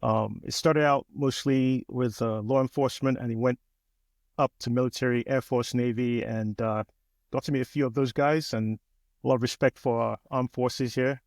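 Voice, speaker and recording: A man from Nigeria, in his forties, tells his story as a podcast guest. His voice has a gravelly edge and a middle pitch. He talks in a relaxed, chatty way, recorded close and dry. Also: moderate pace, dry recording. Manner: relaxed, chatty, frequent "um" and "you know". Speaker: guest, business owner. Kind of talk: casual interview storytelling.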